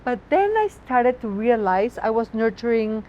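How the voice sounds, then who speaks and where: A woman's voice: a little high, sing-song, moderate pace, dull audio, a little hiss or hum. A woman with a Mexican accent, native Spanish speaker, a chef talking expressively outdoors and sometimes searching for words. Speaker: chef, guest. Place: outdoors on a city street.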